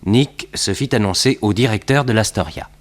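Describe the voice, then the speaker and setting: An actor playing a young, streetwise detective's assistant who narrates an early sixties radio adventure in a matter-of-fact way. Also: male voice, on the low side, moderate pace, natural voice. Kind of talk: early 1960s radio drama narration and dialogue. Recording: old radio production with music behind.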